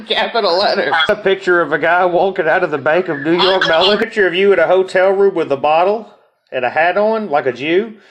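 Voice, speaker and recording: A man's voice: high voice, moderate pace, noisy background. A man with a mocking manner gives an informal dramatic reading of online comments.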